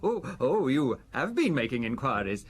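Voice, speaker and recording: A worried, fragile husband in a detective audio drama. The voice is mid-pitched and husky. He speaks haltingly and nervously, yet presses on with obsessive, quiet determination. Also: very animated. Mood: earnest, confiding. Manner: nervous, halting, earnestly insistent.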